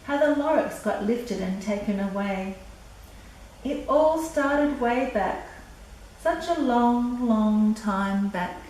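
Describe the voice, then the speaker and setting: A woman voicing a secretive, wistful old recluse recalling his past in a children's storybook, with drawn-out, dramatic phrasing. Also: on the high side, lively intonation, darkish tone, far from the mic. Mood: secretive, reminiscing. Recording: echoey room from afar, faint noise.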